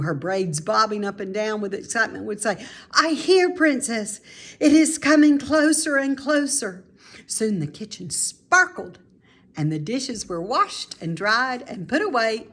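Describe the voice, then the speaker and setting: Slow-paced woman reading a children's story. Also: medium pitch. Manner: deliberate, expressive. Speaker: storybook reader. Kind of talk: expressive scripted children's story narration.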